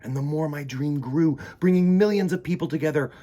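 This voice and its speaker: A man reading a children's picture book aloud in the first person, theatrical, sometimes dropping into hushed emphasis. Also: fairly high pitch, fairly quick. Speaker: children's storytime reader.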